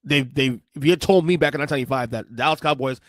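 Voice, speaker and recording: A man's voice: medium pitch, rapid-fire, fairly bright tone, no room sound, clean studio sound. Rambling, emphatic man in his forties. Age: 40s. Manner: rambling, emphatic, repeats himself, curses. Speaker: podcast host. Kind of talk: casual commentary on video clips.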